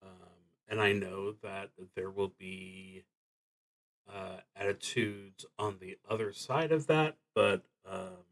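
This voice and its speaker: Slow, halting man thinking aloud. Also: lowish voice, fairly even intonation, gravelly. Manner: hesitant, halting, reflective. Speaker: streamer playing solo tabletop roleplaying games. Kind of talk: unscripted play-through commentary, thinking aloud.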